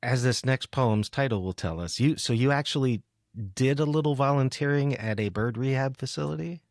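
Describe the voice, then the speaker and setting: A man hosting a casual conversational interview, thoughtful and halting as he rephrases his way through sentences. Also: mid-range voice, dry recording, studio quality. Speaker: podcast host.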